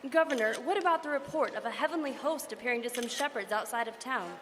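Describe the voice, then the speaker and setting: An actress playing an eager field news reporter who keeps pressing with questions in a live radio drama. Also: female voice, a little high, crowd noise, close to an everyday voice. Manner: brisk, pressing reporter delivery.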